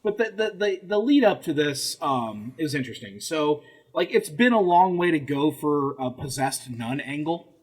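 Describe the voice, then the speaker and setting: A man's voice: a little high, animated, normal speed, husky. A man hosting a casual comedy history podcast, explaining things in a wry, sweary way. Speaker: podcast host leading the episode.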